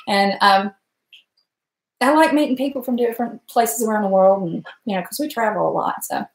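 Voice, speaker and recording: Folksy Virginia woman in an echoey kitchen. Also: a little high. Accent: Appalachian Virginia. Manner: folksy, rambling, talks to chat viewers. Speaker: cooking live streamer. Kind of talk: chatty live-stream cooking talk. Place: home kitchen at night. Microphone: webcam at stove.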